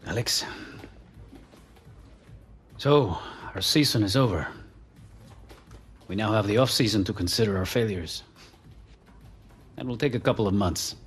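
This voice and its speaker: An actor playing a stern, earnest football manager, speaking in a measured, deliberate speech-making way in a video game. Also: male voice, fairly low pitch, faint music behind, lightly acted. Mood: grave, disappointed. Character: stern, earnest male football manager rallying his team. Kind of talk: scripted video game acting.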